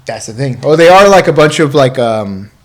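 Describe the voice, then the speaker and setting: A man's voice: medium pitch, lively intonation, moderate pace, a crowd in the background. A man chatting casually as a podcast co-host, rambling along in loose slang with casual swearing. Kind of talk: casual chat.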